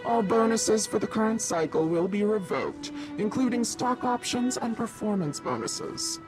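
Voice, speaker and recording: An actress playing a stern, formal corporate executive chairing a disciplinary meeting in a video game, with a procedural delivery. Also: female voice, medium pitch, animated, normal speed, over music, natural voice. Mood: stern, official. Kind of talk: formal scripted game dialogue.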